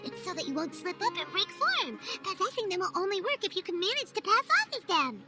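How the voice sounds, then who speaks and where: A woman voicing a tiny, excitable, naive childlike fairy companion in an animated video game, with a squeaky voice. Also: brisk. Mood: eager, understanding. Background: loud music.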